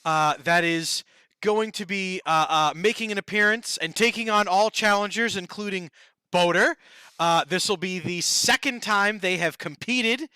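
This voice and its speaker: A man's voice: high-pitched, pushed and bright, smooth, no room sound. A man co-hosting a gaming podcast, chatting casually in a rambling, playful way. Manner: rambling, playful, quick to laugh. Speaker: podcast co-host.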